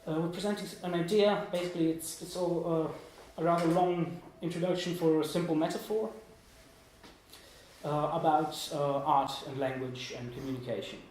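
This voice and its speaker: Young guy, student from germany/italy doing a loose talk, kinda high voice, hesitant n rambly, super echoey room. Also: steady tone, normal speed, darkish tone. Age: 20s. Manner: hesitant, rambling, self-deprecating. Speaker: student presenter giving a talk. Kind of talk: informal live presentation. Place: indoor room at a small meetup. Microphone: distant room microphone. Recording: echoey room, distant mic, background noise.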